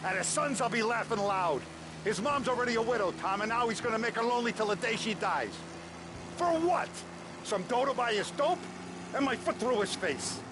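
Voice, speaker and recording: A foul-mouthed, vengeful mob boss. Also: male voice, very high-pitched, expressive, moderate pace, noisy background. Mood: bitter. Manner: gruff, profane, commanding. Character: older mob boss, hot-tempered and vengeful. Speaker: crime boss.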